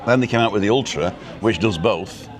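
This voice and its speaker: A man's voice: lowish voice, brisk. Chatty older English man on a busy street. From England. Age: 60s. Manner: chatty, rambling, with frequent uh fillers. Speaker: host of a live walking stream. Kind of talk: casual live-stream chat. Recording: loud crowd noise.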